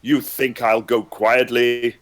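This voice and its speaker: A man's voice: medium pitch, little pitch movement, slow, lightly acted. A man voicing a possessed male fairy who has turned cold and threatening. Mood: menacing. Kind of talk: amateur online table read.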